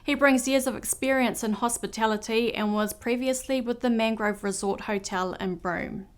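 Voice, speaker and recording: A woman reading scripted news as anchor, in a clear, measured delivery. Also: on the high side, steady tone.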